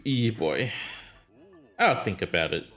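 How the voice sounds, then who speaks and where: A man's voice: lowish voice, expressive, takes it slowly, fairly bright tone, soft background music. A man streaming a mobile game, chatting casually and answering his chat in a rambling way. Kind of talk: casual live-stream chat while gaming.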